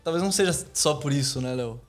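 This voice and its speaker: A man co-hosting a podcast, talking quickly and casually, adding asides to the discussion. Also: a little high, soft background music. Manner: quick, conversational, adds asides. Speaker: podcast co-host, coaching student.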